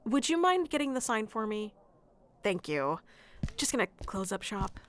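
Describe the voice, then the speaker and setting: A woman playing a sweet, flustered florist secretly in love with a best friend, in an intimate audio roleplay with a hesitant, soft-hearted manner. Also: mid-range voice, a little hiss or hum. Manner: hesitant, soft-hearted, breaking into nervous chuckles and sighs. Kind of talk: intimate ASMR roleplay acting.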